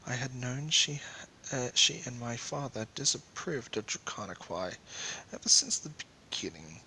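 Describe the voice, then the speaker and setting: A man giving a theatrical amateur dramatic reading of a first-person story, with breathy asides. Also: mid-range voice, sing-song, moderate pace, sharp tone, a little hiss or hum, rough recording. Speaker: amateur fan fiction reader.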